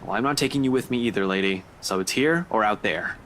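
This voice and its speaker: A man's voice: fairly low pitch, fast, fairly bright tone, slight background noise, lightly acted. A man playing a determined, guarded young investigator in a horror game, with a brusque, dry manner. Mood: dry, stubborn.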